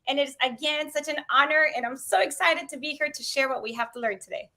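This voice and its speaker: Young woman from south texas, high bright voice, all excited, on a video call. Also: quick pace. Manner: warm, gushing, energetic. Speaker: bilingual teacher, guest. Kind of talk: casual video-call chat. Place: room indoors.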